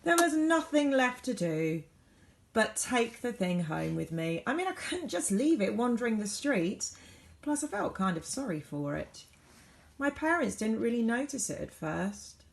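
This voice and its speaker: A woman reading a children's storybook aloud as a teacher would, with dramatic, varied emphasis and deliberate pauses. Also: medium pitch, very animated, vocal fry.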